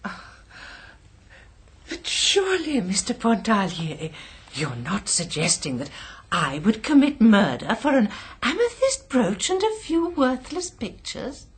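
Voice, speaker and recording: Radio mystery suspect: genteel downtrodden companion, bitter and indignant, high-pitched with extremely animated intonation. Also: female voice, slow, husky, exaggerated voice. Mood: affronted. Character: aggrieved, genteel lady's companion, bitter and defensive. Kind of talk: radio drama acting.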